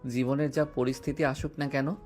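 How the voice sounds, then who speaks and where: A man acting a tender, earnest lover in a romantic audio drama, with soft music behind. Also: medium pitch, level tone, a little fast. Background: soft background music.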